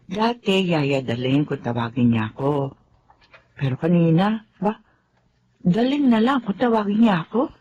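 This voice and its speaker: An actress playing Daleng, a widowed middle-aged housemaid who is loyal and patient, in a radio drama. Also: female voice, fairly low pitch, expressive, measured pace, pauses often, warm tone, dull audio, slight background noise. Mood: gentle.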